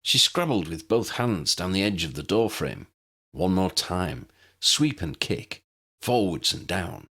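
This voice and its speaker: Deep-voiced man narrating with lively pitch swings. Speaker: audiobook narrator reading own fiction. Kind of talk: scripted story narration.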